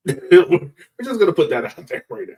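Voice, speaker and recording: A man's voice: high-pitched, very animated, a little slow, darkish tone, poor audio. A man hosting a casual sports podcast, talking in a chatty, informal way.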